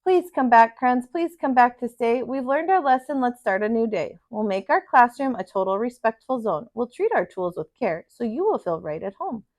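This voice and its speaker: A woman's voice: fairly high pitch, expressive, normal speed, hardly any pauses, darkish tone. A woman voicing an earnest, apologetic young schoolchild pleading in a children's storybook.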